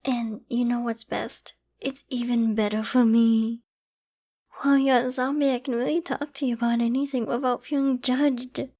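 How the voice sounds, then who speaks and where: A woman's voice: a little high, fairly even intonation, moderate pace, dark tone. A woman playing an obsessive, lovesick young survivor in an intimate roleplay drama, sweetly controlling, affectionate and possessive. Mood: giddy, scheming. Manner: affectionate, giddy, possessive, with breathy sighs and giggles.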